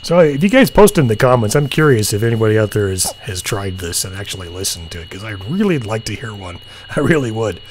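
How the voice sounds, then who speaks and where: A middle-aged man chatting casually and musing in an unhurried, low-key way as he hosts a hobby video. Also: big pitch swings. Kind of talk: casual chat while demonstrating radio software. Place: small indoor home room. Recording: humming, clearly noisy home recording.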